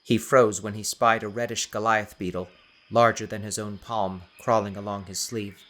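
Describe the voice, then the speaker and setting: A man reading a scripted audiobook as narrator, with a dramatic, deliberate storytelling manner. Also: on the low side, little pitch movement, a bit bright.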